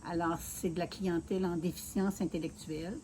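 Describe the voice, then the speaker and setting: A woman in her sixties from Quebec City with a Quebec French accent, calmly telling her career story in an interview, with many filler pauses. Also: on the low side, slight background noise. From Quebec City, Canada. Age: 60s. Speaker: mayoral candidate being interviewed.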